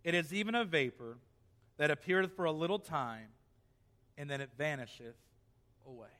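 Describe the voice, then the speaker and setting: A man's voice: a little high, animated, normal speed, fairly bright tone, long reverb tail. A male pastor preaching a sermon in a church, reading scripture aloud and then pressing his point with rising emphasis.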